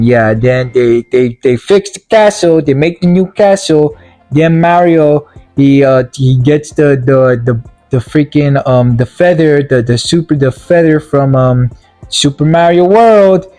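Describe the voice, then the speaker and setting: A man's voice: fairly high pitch, measured pace, warm tone, dry recording, soft background music. A young man casually reviewing a video game movie, rambling and blunt with lots of fillers, his voice sounding tired and worn out.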